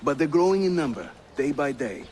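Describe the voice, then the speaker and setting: A lively, jovial Turkish-accented assassin. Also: male voice, fairly high pitch, a little fast, a little hiss or hum. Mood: grave, wry. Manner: lively, teasing, theatrical storytelling delivery. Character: jovial, witty Turkish assassin leader, a welcoming male guide. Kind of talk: video game character acting. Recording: loud music behind the voice.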